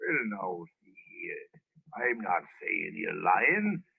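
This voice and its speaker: An actor playing a nosy, stubborn, folksy police sergeant neighbor in a 1930s radio drama. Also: male voice, sits low, sing-song, slow, gravelly, breathy edge, phone-quality sound, exaggerated voice. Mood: indignant. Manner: folksy, garrulous, colloquial phrasing. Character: genial, talkative, nosy Irish-American police sergeant neighbor turned stubborn. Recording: band-limited vintage 1930s radio recording.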